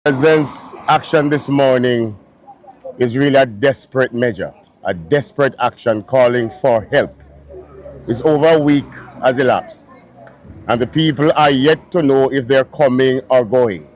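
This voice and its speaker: Guy speaking for residents, normal pitch, serious and clear. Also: a little slow, darkish tone, poor audio.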